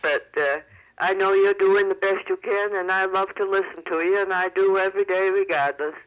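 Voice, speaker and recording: A man's voice: high-pitched, fairly even intonation, moderate pace, fairly bright tone, clean tone. An older man calling a radio show by telephone, chatting in a plain-spoken, folksy and affectionate way. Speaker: phone-in caller. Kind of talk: casual radio phone-in chat. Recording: telephone call on 1970s radio.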